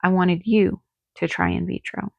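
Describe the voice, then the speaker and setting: A woman's voice: lowish voice, steady tone, moderate pace, creaky, no room sound, phone-quality sound. A woman voicing a defensive, pleading husband in an audiobook. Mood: subdued, confessing. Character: defensive, pleading husband, adult man, frustrated. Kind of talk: audiobook character dialogue.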